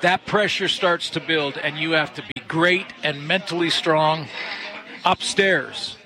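A man's voice: fairly high pitch, measured pace, bright, forceful tone. A man co-hosting a sports talk show, a former player breaking down the mental side of kicking with confidence and easy laughs. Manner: laughs often, confident, analytical.

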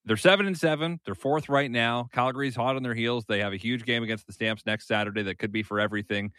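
A man hosting a sports talk show, briskly setting up interview clips and commenting on games. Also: medium pitch, pushed and bright, dry recording, studio quality. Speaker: sports radio host.

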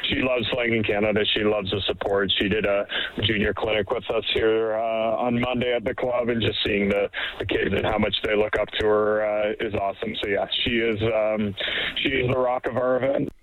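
A man who directs a tournament, speaking relaxed and easygoing in a radio interview clip. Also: lowish voice, moderate pace. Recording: tinny phone-line audio.

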